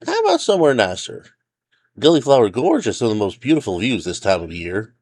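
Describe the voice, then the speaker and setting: A man's voice: medium pitch, wildly swooping pitch, brisk, a little mellow, voice pushed up. A man voicing a wary, weary forest ranger in a story, hesitant and eager to steer people elsewhere. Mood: chipper, hopeful. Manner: hesitant, muttering, overly chipper when steering away. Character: weary, wary male forest ranger, hopeful then resigned.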